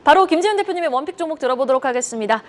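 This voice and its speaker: A woman's voice: sits high, expressive, racing. A woman presenting a broadcast as anchor, polite and formal as she hands over to guests. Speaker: news anchor.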